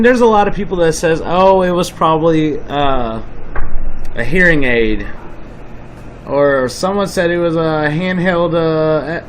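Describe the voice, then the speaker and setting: A man's voice: on the high side, slight background noise, poor audio. A man giving casual, insistent commentary over footage as the host of a video channel.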